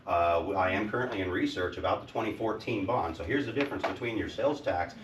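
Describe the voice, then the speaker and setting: A man with a loud voice questions the school board at a public meeting, insistent and trying to keep himself calm. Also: low voice, quick pace. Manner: loud and insistent, says he is trying to speak softly. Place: school district office lobby at a public meeting. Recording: roomy echo, distant mic, faint background noise.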